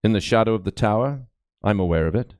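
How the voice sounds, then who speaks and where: A man playing a cool, skeptical medieval investigator in an audiobook, speaking in a measured, halting, businesslike way. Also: lowish voice, expressive, darkish tone, smooth, no echo, studio quality, pitched below the performer's own voice, close to an everyday voice. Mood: wry, calm. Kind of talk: dramatic audiobook character acting.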